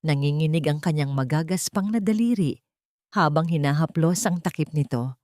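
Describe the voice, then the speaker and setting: A woman's voice: low voice, animated, normal speed, warm tone, no room sound. A woman storyteller reading a scripted dramatic narration.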